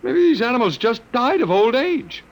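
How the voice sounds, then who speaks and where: A man's voice: extremely high pitch, very animated, moderate pace, low-quality recording. A man playing a sardonic, resigned captive professor in a classic radio drama, musing and hesitating as he talks. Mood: incredulous. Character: wry, resigned male anthropology professor held captive by aliens.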